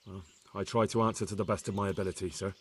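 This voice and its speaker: Game role: humble young soldier reporting to his superior, fairly low, plain and respectful. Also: steady tone, fast, husky. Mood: hesitant, humble. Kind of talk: video game cutscene acting.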